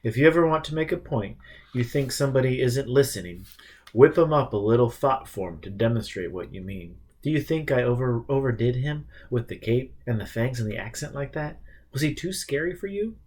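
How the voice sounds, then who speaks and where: A man voicing a calm, patient male teacher in an audiobook, reasoning and explaining with a gentle, teasing touch. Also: mid-range voice, a little fast, natural voice. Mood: playful.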